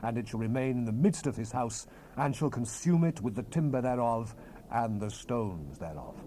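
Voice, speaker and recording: A man's voice: mid-range voice, expressive, moderate pace, dark tone, close to an everyday voice. A man voicing a mighty, wrathful God, proclaiming commands majestically in a dramatized scripture recording. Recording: hissy 1970s cassette rip.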